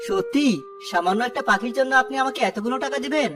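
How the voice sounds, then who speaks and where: A woman's voice: sits high, fast talker, over music, close to an everyday voice. A woman playing a greedy, irritable village man in a children's cartoon.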